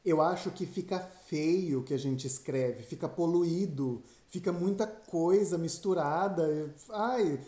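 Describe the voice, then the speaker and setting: A man's voice: fairly high pitch, a little slow, few pauses, dark tone. A Brazilian man co-hosting a podcast, talking affectionately and with gushing delight. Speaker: podcast co-host. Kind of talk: casual podcast chat. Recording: roomy, echoey room.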